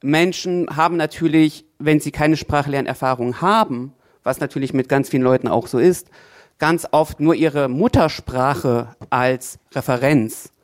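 A man's voice: a little high, unhurried. A man who works as a language teacher, explaining his view thoughtfully in a panel discussion.

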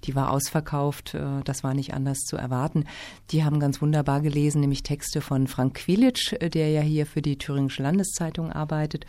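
A woman from Hesse, a literature festival organizer, answering interview questions in a lively, engaged way with many fillers. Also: low-pitched, a little fast. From Hesse, Germany.

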